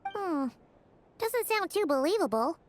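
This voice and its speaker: A woman voicing a tiny, childlike fairy companion in a video game, squeaky, chatty and curious. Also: sing-song, measured pace, slight background noise, exaggerated voice. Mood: skeptical, concerned. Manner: refers to herself in third person, chatty.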